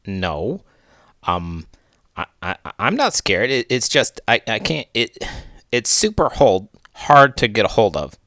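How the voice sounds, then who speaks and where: A man's voice: lowish voice, sing-song, slow, pushed and bright, dry recording, clean studio sound, full character voice. A man doing a boastful pig puppet. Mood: flustered. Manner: boastful, stammers when caught out.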